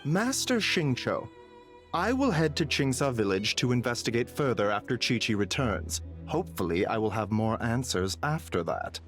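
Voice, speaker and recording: A courteous male physician from a video game played by an actor, with a medium-pitched voice. He phrases things formally and modestly, with expressive rises and falls. Soft music sits underneath. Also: normal speed, lightly acted. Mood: measured. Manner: polite, formal, careful phrasing. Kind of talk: English game voice acting.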